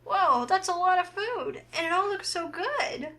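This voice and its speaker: A woman's voice: very high-pitched, animated, measured pace, sharp tone. A woman reading a visual novel aloud, narrating and acting out the heroine's lines. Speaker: game player and narrator.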